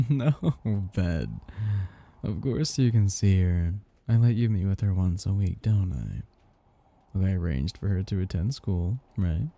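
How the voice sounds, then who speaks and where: A man playing a possessive, condescending vampire master who croons and teases slowly in an intimate ASMR roleplay. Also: deep voice, animated, heavily acted. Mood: playful, condescending. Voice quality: husky, gravelly, dark. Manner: slow, crooning, teasing, condescending.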